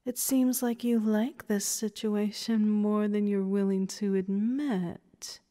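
A woman's voice: medium pitch, fairly even intonation, takes it slowly, mellow, lightly acted. A woman playing a domineering, condescending woman who commands and taunts in an audio story. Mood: taunting. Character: domineering, condescending woman running a training program. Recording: reverberant hall-like echo.

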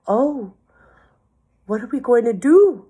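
A woman voicing a small, worried, excitable pig in a children's storybook, exclaiming urgently. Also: a little high, takes it slowly, breathy edge, voice pushed up, full character voice. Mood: frightened.

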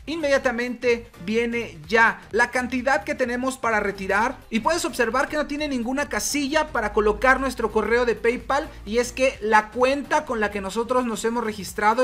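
Higher-voiced woman narrating a screen tutorial. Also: normal speed, few pauses. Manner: steady, explanatory, addresses viewer directly. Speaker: channel host. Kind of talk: screen-recorded tutorial voice-over.

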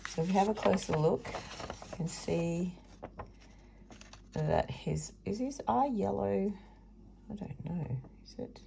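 A woman hosting a casual craft tutorial with a hoarse, croaky voice, chatting and muttering asides as she works at a table. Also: mid-range voice, takes it slowly, long pauses, mellow, slight background noise. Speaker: craft video host. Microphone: overhead camera above a table, hands only.

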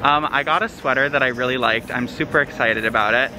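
A man's voice: medium pitch, fast talker, noisy background. A young man walking through city streets, chatting casually to a handheld camera. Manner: upbeat, chatty, frequent ums. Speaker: vlogger. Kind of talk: casual vlog narration. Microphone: camera held at arm's length.

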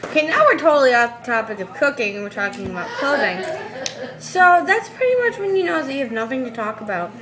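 A woman's voice: sits high, sing-song, quick pace, crowd noise. A teenage girl joking and rambling in casual chat, recorded on a laptop webcam in a home kitchen. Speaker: co-host.

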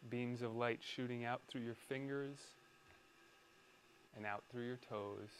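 Slow-paced man guiding a meditation. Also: medium pitch, steady tone, a little hiss or hum, low-quality recording. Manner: soothing, deliberate, pausing between short phrases. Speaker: meditation guide. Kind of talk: guided meditation.

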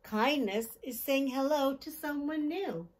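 A middle-aged woman hosting a children's storytime, reading a picture book aloud in simple, deliberate phrases and putting questions to her young listeners. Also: high voice. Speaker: children's storytime host and reader. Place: small decorated indoor room.

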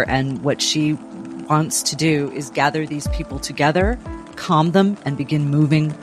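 A man playing a tabletop game, casually describing what his character does. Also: a little high.

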